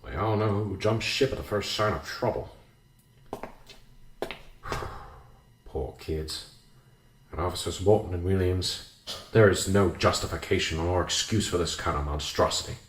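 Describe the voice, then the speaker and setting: An actor playing a steady, dutiful small-town police investigator in a radio drama, measured, formal and dry. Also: male voice, low-pitched. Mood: weary, solemn. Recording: echoey room.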